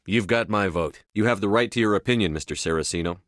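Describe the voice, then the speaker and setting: A man's voice: low voice, fast talker, fairly bright tone, no room sound, clean studio sound. An actor playing a blunt, resolute male military commander in a sci-fi video game, with terse, assertive, controlled delivery. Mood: firm, composed. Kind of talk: scripted video game dialogue.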